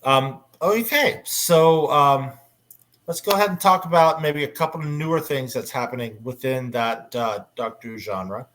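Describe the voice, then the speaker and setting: A man's voice: medium pitch, normal speed, fairly bright tone. Enthusiastic man hosting a fan panel. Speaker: convention panel host. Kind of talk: casual panel discussion about audio dramas.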